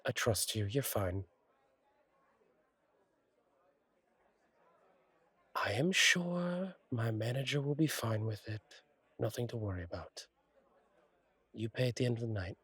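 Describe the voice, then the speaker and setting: A man playing a friendly, courteous angel bartender in an intimate roleplay audio, speaking gently with thoughtful pauses. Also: mid-range voice, lively intonation, husky, no echo. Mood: helpful, reassuring. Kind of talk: intimate roleplay audio acting, one side of a conversation.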